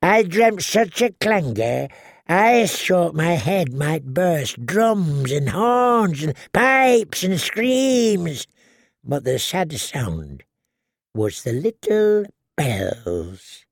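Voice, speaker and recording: A man doing a croaking ancient dwarf crone. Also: fairly high pitch, big pitch swings, no echo, studio quality, pitched above the performer's own voice, heavily acted. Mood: ominous. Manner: slow, wheedling, prophetic. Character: ancient tiny dwarf woman seer, eerie and cackling. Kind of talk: audiobook character voice.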